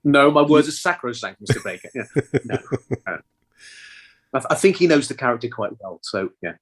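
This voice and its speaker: A man, an actor and writer, telling an animated anecdote as a podcast guest. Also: mid-range voice, moderate pace. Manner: animated storyteller, acts out quotes. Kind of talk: interview anecdote.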